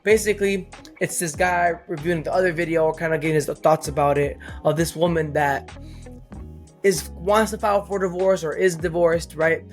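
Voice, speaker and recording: A man's voice: on the high side, steady tone, normal speed, soft background music. A man casually presenting a segment on a podcast. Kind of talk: casual chat.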